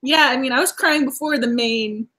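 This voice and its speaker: Woman host from boston area, chatty, laughs a lot, higher voice, on a video call. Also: normal speed, fairly bright tone. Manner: chatty, laughs often, restarts while avoiding spoilers. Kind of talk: casual video chat about books.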